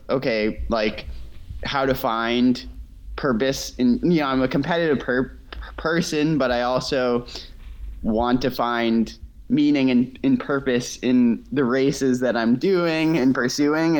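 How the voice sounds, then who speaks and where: A man's voice: medium pitch, fairly even intonation, measured pace, rough recording. A young man from Massachusetts, a guest in a casual interview over a remote call, talking in a hesitant way with many fillers and restarts. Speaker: guest, ultra runner.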